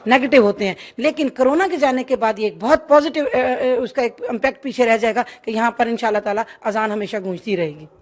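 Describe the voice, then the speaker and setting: A female interviewee with a slightly high voice. She explains her view in an unscripted news comment. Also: fairly quick, few pauses. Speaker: community interviewee. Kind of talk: spoken opinion to news.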